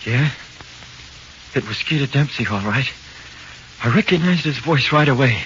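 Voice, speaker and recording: A man's voice: mid-range voice, lively intonation, normal speed, a bit bright, close to an everyday voice. A man with an American accent playing a tough-talking but easily frightened jailed crook in 1940s radio horror, slangy and tense. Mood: shaken recounting. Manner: slangy, uneducated, tense delivery. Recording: muffled, hissy 1940s radio recording.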